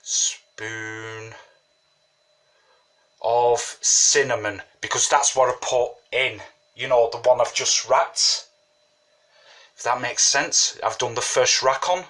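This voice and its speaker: A man's voice: mid-range voice, fairly even intonation, slow pace, long pauses, fairly bright tone. A man with a cold, sniffling a little, talking casually and rambling as he explains a home brewing recipe at his kitchen table. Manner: chatty, rambling, says "you know what I mean". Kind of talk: casual how-to tutorial.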